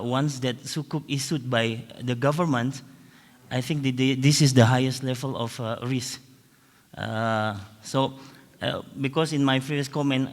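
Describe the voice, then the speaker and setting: A man's voice: mid-range voice, a bit bright. Man explaining on a panel, many fillers. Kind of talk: panel discussion. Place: large conference hall. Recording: long conference hall reverb.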